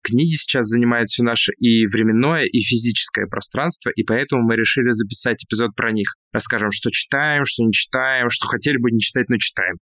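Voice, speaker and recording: A man's voice: medium pitch, fairly quick, hardly any pauses, sharp tone, no echo. A man co-hosting a podcast in casual, conversational talk. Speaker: podcast co-host and parent.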